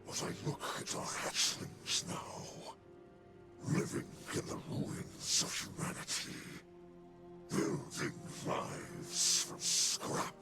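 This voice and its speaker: An actor voicing an ailing, proud male alien leader with a breathy, hissing voice, speaking slowly and gravely. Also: lightly acted. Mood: despairing. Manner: slow, grave, dramatic rises. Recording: game soundtrack under voice. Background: music.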